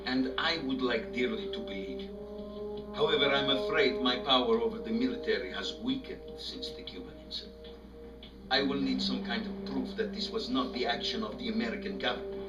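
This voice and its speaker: A man's voice: mid-range voice, natural voice. Man playing a stern Soviet leader, echoey and distant. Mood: grave, pressing. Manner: formal, deliberate, pointed questioning. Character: weary, stern Soviet leader, politically cornered, coldly threatening. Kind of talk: video game cutscene acting. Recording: echoey, distant game audio under music.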